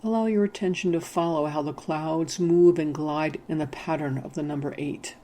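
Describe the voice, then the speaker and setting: A narrator leading a hypnotic guided meditation in a soothing, measured, gently instructing manner. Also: mid-range voice, fairly even intonation.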